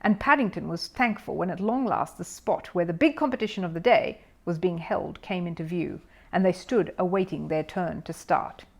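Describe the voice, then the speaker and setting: A woman narrator reading a children's story aloud in an expressive style. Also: fairly low pitch, normal speed, creaky. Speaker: audiobook narrator.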